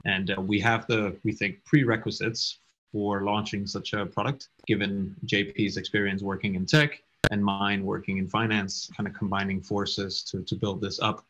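A young man from Denmark, a startup co-founder talking casually in an interview, quick and stumbling over repeated words. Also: lowish voice, fairly even intonation, a bit bright, a little hiss or hum. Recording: dull lo-fi audio.

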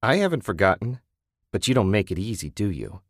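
Male reader doing a curt, accusing husband, low voice, dry read. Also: sing-song, a little fast, slightly rough, no echo, heavily acted. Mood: bitter. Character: hot-tempered, accusing husband obsessed with his car.

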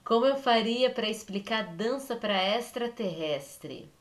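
A woman co-hosts a casual podcast, working through a question aloud. Her voice sits a little high, and she rambles and reflects, breaking off mid-phrase to correct herself. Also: expressive, unhurried. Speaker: podcast co-host.

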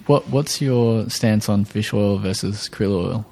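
A man's voice: lowish voice, unhurried, vocal fry, mellow. A young man with an Australian accent hosting a casual podcast interview, agreeable and attentive. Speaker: podcast host.

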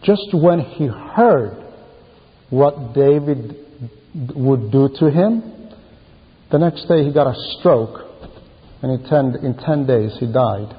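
A man's muffled voice rings through a long hall reverb. He preaches at an unhurried pace, breaking his thoughts into short, emphatic phrases. The delivery is that of a homily told as a story. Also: medium pitch, animated. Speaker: preacher reading a translated homily. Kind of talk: sermon, retelling Bible stories.

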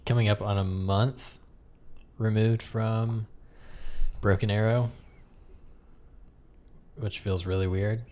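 A man's voice: fairly low pitch, fairly even intonation, slow, pauses often, darkish tone. A man hosting a casual podcast, chatting in a joking, sarcastic way with his co-host. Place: room with another person.

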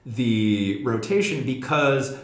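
A man's voice: mid-range voice, slow. A man hosting a casual theatre podcast discussion, speaking analytically and with opinions, posing questions to the group. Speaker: podcast host. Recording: echoey, reverberant room.

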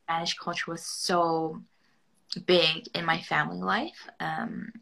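A woman from Argentina speaks reflectively as a podcast co-host, often pausing to gather her thoughts. Her voice is low with a gravelly fry. It has a bright, sharp edge. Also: little pitch movement, low-quality recording. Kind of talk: casual chat.

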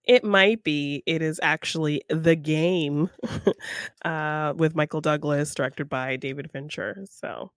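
A woman's voice: deep voice, slow, no echo, studio quality. A woman co-hosting a podcast, chatting casually about films in an agreeable, giggly manner. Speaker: podcast co-host. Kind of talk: casual movie chat.

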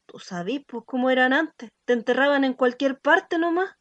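A woman's voice: high voice, moderate pace, fairly bright tone, dry recording, highs cut off. A woman playing a frank, earthy neighbour in a radio drama, with a Chilean accent and blunt, colloquial talk. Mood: gossiping.

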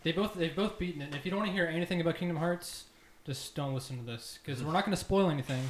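A man's voice: a little high, rapid-fire. A man hosting a casual group podcast with playful, mock-grandiose banter. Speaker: podcast host. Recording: echoey room, faint noise.

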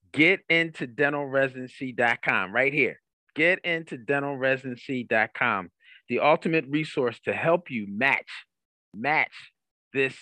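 Bright-voiced man hosting a podcast. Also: medium pitch, no room sound. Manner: emphatic, repeats phrases. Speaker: podcast host and dental career coach. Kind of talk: upbeat podcast hosting and interviewing.

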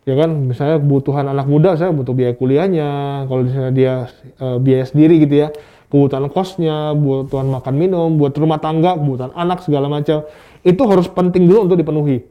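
A man's voice: fairly high pitch, fairly even intonation, fast talker, dull audio. A man, speaking as a guest expert in a casual interview, explaining through rhetorical questions and examples. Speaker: guest expert on stock market investing.

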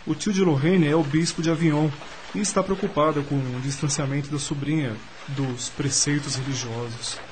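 An actor playing an earnest, courteous young gentleman student in love, speaking formally in a radio drama. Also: a little high, steady tone, normal speed, a little hiss or hum, natural voice, low-quality recording. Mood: subdued, explaining. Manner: formal, polite.

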